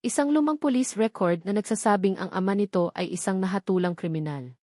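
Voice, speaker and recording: A woman's voice: medium pitch, brisk, a little mellow, no room sound. Filipino woman narrating a story, dry close sound. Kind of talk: scripted dramatic story narration.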